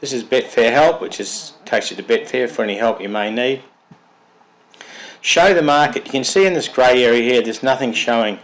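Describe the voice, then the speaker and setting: A man's voice: mid-range voice, moderate pace, a bit bright, low-quality recording. Man with a froggy cold voice. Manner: methodical, step-by-step explaining. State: has a cold, sounds froggy. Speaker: software developer presenting his product. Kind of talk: screen-recorded software walkthrough.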